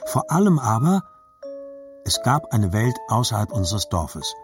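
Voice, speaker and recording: An actor narrating as a grown man remembering his childhood, measured and reflective, in scripted literary style. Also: mid-range voice, very animated, music in the background.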